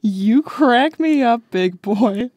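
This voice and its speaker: A woman's voice: a little high, animated, slow pace, a little mellow, no room sound, studio quality. A woman voicing a flirty, teasing bat thief in a cartoon comic dub, coy and playful. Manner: coy, playful, drawling endearments.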